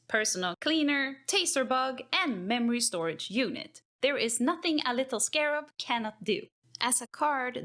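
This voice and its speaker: A woman's voice: fairly high pitch, a bit bright. A woman playing a cheerful, geeky techpriest who chats informally while narrating card game lore in character.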